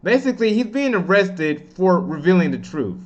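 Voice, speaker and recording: A man with a slightly high voice, recorded in dull, muffled lo-fi audio. He talks as an online commentator, giving opinions in a loose, slangy, rambling manner. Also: sing-song, moderate pace.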